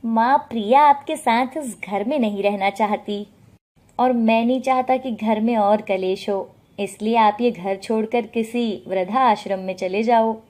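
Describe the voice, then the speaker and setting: A woman's voice: fairly high pitch, unhurried, darkish tone, close to an everyday voice. A woman voicing a henpecked adult son in a story, curt and dismissive toward his mother. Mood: cold.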